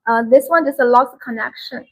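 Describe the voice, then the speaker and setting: A woman with a slightly high voice hosts an informal live product demonstration. She explains features step by step in halting, non-native English. She often circles back to repeat her key selling points. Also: husky, low-quality recording. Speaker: live-stream sales host.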